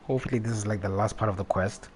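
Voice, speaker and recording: A man livestreaming a video game with casual, halting commentary, muttering and rephrasing to himself. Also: lowish voice, fairly even intonation, darkish tone, a little hiss or hum. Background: faint game music.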